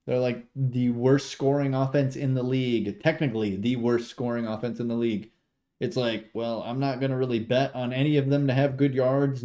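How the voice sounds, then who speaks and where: A man's voice: medium pitch, dark tone. An American man doing informal, rambling livestream football commentary that mixes play-by-play with casual, sweary chat. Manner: rambling, informal, sweary, talks sports betting slang.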